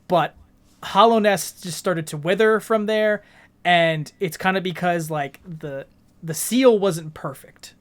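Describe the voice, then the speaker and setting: A man's voice: high voice, slow pace. A man co-hosting a podcast, talking casually and explaining things in colloquial language. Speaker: podcast co-host. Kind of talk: casual video game discussion.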